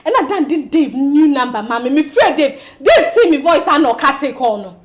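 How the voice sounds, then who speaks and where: Seorang wanita yang memerankan wanita muda yang gelisah dan mengeluh karena ditinggal kekasihnya. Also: female voice, high-pitched, animated, moderate pace. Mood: bitter. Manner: agitated, complaining. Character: distressed young woman, jilted by lover.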